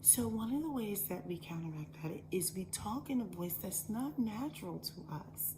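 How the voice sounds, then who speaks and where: A woman voicing a preachy female lifestyle influencer who talks in a slow, hushed, breathy whisper. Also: medium pitch, expressive, lightly acted. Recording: background noise.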